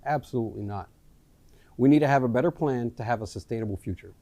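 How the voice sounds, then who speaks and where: A man in his forties from Miami, a retired Marine, introducing himself as a local election candidate in an earnest, halting way with many ums and restarts. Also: medium pitch, warm tone, no room sound. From Miami, USA. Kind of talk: candidate introduction, casual speech. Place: local access studio.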